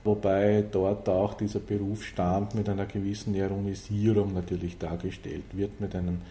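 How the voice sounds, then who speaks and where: Sehr dunkle österreichische Männerstimme. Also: male voice, deep voice, level tone, normal speed, dark tone. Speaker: librarian, interviewee. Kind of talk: formal interview talk.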